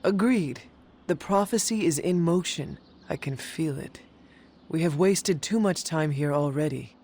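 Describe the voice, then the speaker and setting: An actress playing a proud half-demon monster hunter, formal and stern, in a video game. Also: female voice, low voice, measured pace, darkish tone, a little hiss or hum. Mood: grave.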